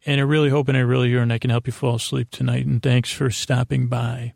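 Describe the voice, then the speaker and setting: Male sleep-podcast host, very heavy vocal fry, dry close recording, meandering halting monologue. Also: medium pitch, level tone, a little mellow, dry recording. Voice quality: gravelly, creaky. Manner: meandering, halting, trailing off mid-sentence. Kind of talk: rambling bedtime monologue to put listeners to sleep.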